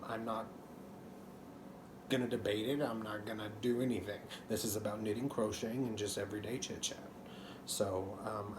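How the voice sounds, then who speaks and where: A man in his thirties from Texas with a faint Texan accent and a slightly hoarse voice, chatting casually to camera at home while knitting, full of ums and restarts. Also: fairly low pitch, background noise. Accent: Texan, mostly masked. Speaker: knitting channel host. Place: living room couch at home.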